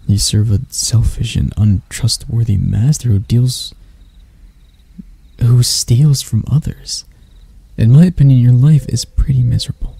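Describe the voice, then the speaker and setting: Man acting as a dark, velvety-voiced elf prince. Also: medium pitch, measured pace, gravelly, husky, no echo. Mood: menacing, scornful. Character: proud elf prince, stern captor softening into bashfulness. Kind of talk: roleplay ASMR acting, addressed to the listener.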